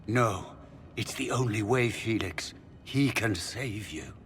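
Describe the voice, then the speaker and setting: A man's voice: lowish voice, slow, a bit bright, a little hiss or hum. An actor playing a fanatical magister villain and desperate father in a video game, grave, grandiose and imperious. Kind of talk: video game character acting.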